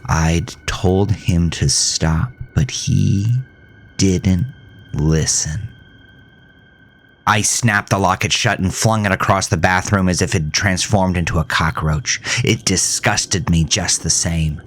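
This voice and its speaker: A man playing a grieving, haunted farmer who narrates a horror audio drama in a brooding, deliberate, theatrical way. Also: low voice, a bit bright. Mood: grieving, bitter. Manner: brooding, deliberate, theatrical, dropping at times into breathy near-whisper. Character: grieving, haunted farmer telling his supernatural ordeal.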